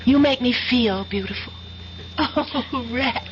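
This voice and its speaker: A woman's voice: on the high side, slow pace, slight background noise. An actress playing an adoring, breathless young woman student in a radio drama, with a gushing, hesitant delivery. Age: young adult. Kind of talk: 1970s radio drama acting. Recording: dull 1970s radio broadcast, music behind.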